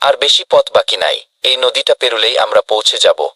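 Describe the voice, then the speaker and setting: A man voicing a helpful, brisk rabbit in a children's cartoon, on a noisy track. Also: mid-range voice, animated, natural voice. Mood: earnest, relieved.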